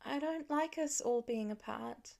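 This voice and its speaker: A woman's voice: a little high, a little slow, gravelly, a little mellow. A woman voicing an anxious, skeptical teenage big sister in an audiobook. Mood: tired, relieved. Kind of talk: audiobook character voice in fan fiction.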